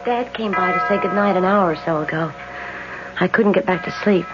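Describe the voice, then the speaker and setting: A woman's voice: fairly low pitch, fairly quick, loud music behind the voice, close to an everyday voice. An actress playing a tired, unwell young woman, a twin sister, in a 1970s radio drama, speaking drowsily. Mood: weary. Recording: dull vintage radio recording with hiss.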